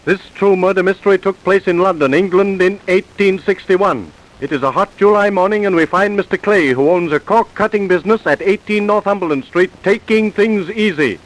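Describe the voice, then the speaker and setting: A man's voice: high-pitched, brisk, rough recording. A man narrating true crime stories in a scripted, dramatic old-time radio style.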